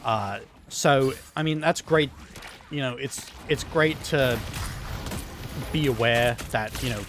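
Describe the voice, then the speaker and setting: A man with a hoarse voice rambles in a plain mid-range pitch, telling absurd stories over his gameplay. He talks in a deadpan way, stacking fillers and restarting his sentences. A faint hiss of noise sits under his voice. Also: takes it slowly. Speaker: gaming YouTuber.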